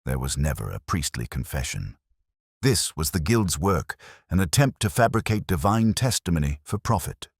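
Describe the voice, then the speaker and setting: A man voicing a shrewd, commanding Victorian detective in an audiobook, with deliberate, grave pronouncements. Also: sits low, very animated, vocal fry, husky, no room sound, studio quality, exaggerated voice. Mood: deductive. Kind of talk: audiobook character dialogue.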